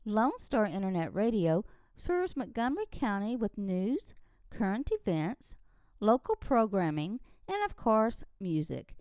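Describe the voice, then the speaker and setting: A woman's voice: medium pitch, big pitch swings, measured pace, darkish tone, clear, resonant tone, dry recording, studio quality. A woman radio host from Texas, speaking in an upbeat, friendly way as she invites listeners to volunteer at the station. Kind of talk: station promo announcement.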